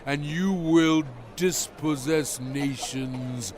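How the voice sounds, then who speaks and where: A man playing a solemn, commanding biblical prophet in a dramatized scripture reading, speaking in a measured, emphatic, exhorting way. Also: a little high, a little mellow. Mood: earnest, commanding. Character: solemn commanding male biblical prophet addressing his people.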